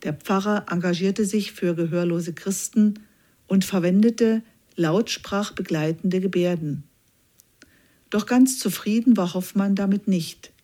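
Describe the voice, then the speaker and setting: A woman reading a scripted news feature aloud in an even, measured way. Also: medium pitch. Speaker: news reader.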